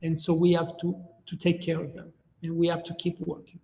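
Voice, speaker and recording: A middle-aged man from Chile answers questions over a video call in a high, thoughtful voice. He often breaks off and restarts his phrases. The sound is roomy, with a long reverb trailing each word. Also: monotone, gravelly, mellow. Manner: measured, restarts phrases while thinking. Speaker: artist guest. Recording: echoey room with long reverb.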